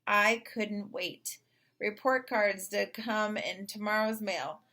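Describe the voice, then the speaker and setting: A woman narrating a children's story in an expressive read-aloud style. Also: medium pitch, takes it slowly. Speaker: storybook reader.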